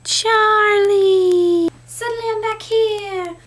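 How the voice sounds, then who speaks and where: A woman voicing an excitable, nagging, silly cartoon creature with whiny, drawn-out calls. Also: extremely high pitch, a little hiss or hum, pitched above the performer's own voice, heavily acted. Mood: silly, excited.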